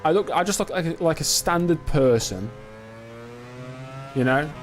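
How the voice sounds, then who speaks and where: A young man casually commenting on his own racing game in a live stream, joking and self-mocking, quick to get excited. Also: on the high side, slight background noise. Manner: quickly excitable under pressure.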